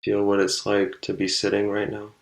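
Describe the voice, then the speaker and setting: A man leading a guided meditation, speaking calmly and unhurriedly with long pauses between short instructions. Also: on the low side, creaky.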